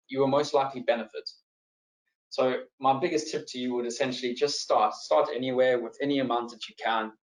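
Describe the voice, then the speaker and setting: A man's voice: fairly high pitch, reverberant, poor audio. South African man hosting a webinar. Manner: relaxed and friendly, with frequent fillers and repeated words. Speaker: financial adviser hosting a webinar. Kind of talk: presenting a live online seminar, answering audience questions.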